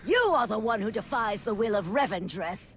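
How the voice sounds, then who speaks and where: A woman's voice: mid-range voice, big pitch swings, normal speed, noisy background. An actress playing a defiant, solemn rebel noblewoman, grave and commanding, in a video game cinematic. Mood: accusing, defiant. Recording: music behind the voice.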